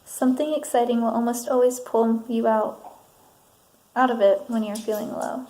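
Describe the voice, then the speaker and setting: Female ASMR counselor role, higher-pitched yet mellow, unhurried, soft-spoken delivery. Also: fairly even intonation. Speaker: ASMR performer roleplaying a counselor. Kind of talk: soft-spoken ASMR roleplay, reading assessment statements. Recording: roomy sound, faint background noise.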